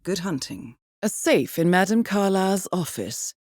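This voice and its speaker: A woman playing a cool, composed intelligence handler in a video game, giving mission briefings in a measured, formal, dryly witty manner. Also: fairly low pitch, big pitch swings, a little mellow, no echo, clean studio sound. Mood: measured, informative.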